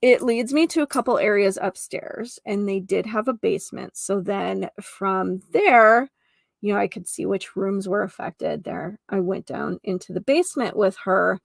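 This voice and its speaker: A woman's voice: mid-range voice, moderate pace, vocal fry, no room sound, clean studio sound. A woman hosting a podcast solo, talking casually and emphatically, addressing the listener directly. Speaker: podcast host.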